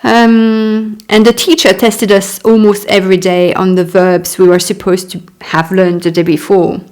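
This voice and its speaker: A woman's voice: medium pitch, flat delivery, a little mellow. A woman in her thirties whose first language is French, reading her own memoir aloud and stopping for chatty asides. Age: 30s. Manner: unhurried reading broken by chatty asides and little laughs. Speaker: author.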